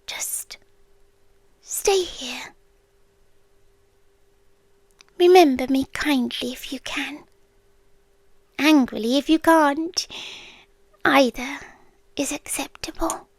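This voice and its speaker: A British woman playing an ancient, lovelorn world-ending being in an ASMR roleplay, speaking in a soft, breathy, intimate whisper. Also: high-pitched, no room sound. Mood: pleading, resigned. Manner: slow, hushed, intimate, with pauses. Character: ancient female apocalypse entity, lovelorn, tender and melancholy. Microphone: close microphone in a dry space.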